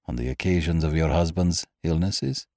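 A man playing a grave, authoritative doctor in an audiobook, with a grim, measured, gently commanding manner. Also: very deep voice, dry recording, clean studio sound. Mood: gentle, probing. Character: grave, authoritative male doctor, expert on the supernatural. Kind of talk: audiobook character acting.

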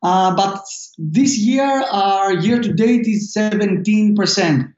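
A Greek-accented man with a high voice answers questions haltingly, stopping and restarting his sentences. His voice sounds roomy and echoey. The recording is dull and muffled. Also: darkish tone. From Greece. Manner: halting, with many fillers and restarts. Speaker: startup founder guest. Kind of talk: casual interview answers.

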